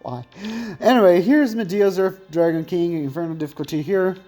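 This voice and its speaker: A man's voice: high voice, normal speed, mellow, faint music behind. A young man with a thin voice chatting casually and rambling while commentating on his gameplay, often correcting himself mid-sentence.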